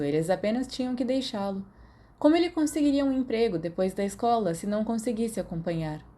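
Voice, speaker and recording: A woman's voice: mid-range voice, warm tone. A woman with a Brazilian accent reading scripted fiction as an audiobook narrator.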